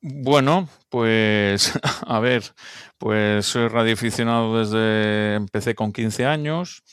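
A man from Barcelona speaks as an interview guest, telling his story in a chatty, rambling manner full of laughs and restarts. His voice sits low for a man. The recording is dry and close. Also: slow, clean tone, no room sound. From Barcelona, Spain. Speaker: radio amateur guest.

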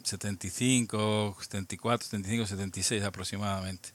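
An older man with a Spain Spanish accent speaks in a lowish voice, reflective and unhurried. He restarts and repeats words often as he works through his memories, in a relaxed interview. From Albacete, Spain. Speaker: guest, amateur radio operator. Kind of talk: casual interview, reminiscing.

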